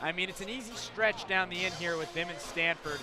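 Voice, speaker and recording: A man's voice: high voice, a little fast, music in the background. An American man giving conversational, opinionated color commentary on a live basketball broadcast. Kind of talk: live sports analysis.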